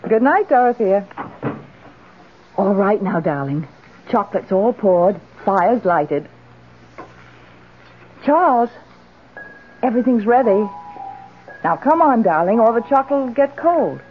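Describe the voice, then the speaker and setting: An actress playing a doting, imperious wife in an old radio drama, theatrical and genteel. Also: female voice, medium pitch, very animated, a little slow, long pauses, phone-quality sound, a little hiss or hum. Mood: coaxing. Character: doting, imperious wife, yearning for her husband.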